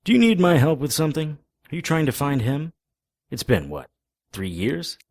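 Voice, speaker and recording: An actor playing a wisecracking, world-weary occult investigator, narrating and trading lines in a noir-style audio drama. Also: male voice, a little high, sing-song, normal speed, a little mellow, no room sound, studio quality. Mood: guarded. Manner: sardonic, flippant.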